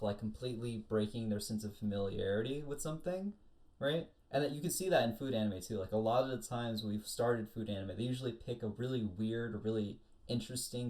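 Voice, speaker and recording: Male panelist, mid-pitched voice, informal discussion, explains in detail. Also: darkish tone. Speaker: panelist on an anime discussion show. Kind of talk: casual chat.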